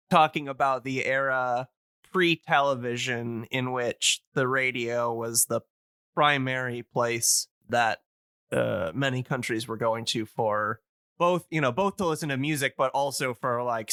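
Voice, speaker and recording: A man explains things casually as a podcast guest. His voice is bright and smooth at a middle pitch, in a very dry recording. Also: dry recording.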